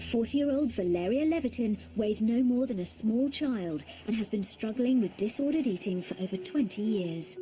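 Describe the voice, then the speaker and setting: A woman reading scripted documentary narration with a steady, even delivery. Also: on the high side, moderate pace, hardly any pauses, faint music behind, poor audio.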